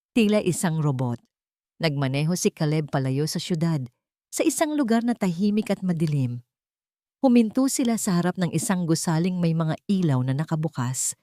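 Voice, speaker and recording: A woman's voice: on the low side, dark tone, no echo, studio quality. A woman reading a scripted romance story as narrator, in a solemn, emotive dramatic style.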